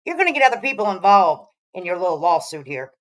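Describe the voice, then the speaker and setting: A woman's voice: on the low side, very animated, fast, background noise. A woman giving blunt, mocking reaction commentary, scolding the person she is responding to.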